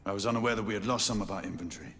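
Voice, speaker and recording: An actor playing a stern, seasoned British knight in a video game, terse and commanding. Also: male voice, lowish voice, rapid-fire, vocal fry, fairly bright tone, a little hiss or hum. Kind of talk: dramatic video game cutscene acting. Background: music.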